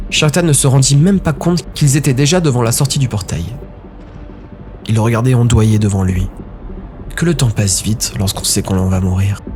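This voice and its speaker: A man giving a dramatic scripted narration of a story. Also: medium pitch. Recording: background music under narration.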